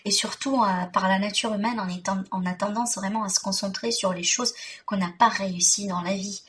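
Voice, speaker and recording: A woman's voice: medium pitch, steady tone, brisk, a bit bright. A woman reading tarot for viewers informally, speaking directly to them with frequent check-in tags and emphatic, repetitive phrasing. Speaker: tarot reader, host. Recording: distant mic, faint background noise.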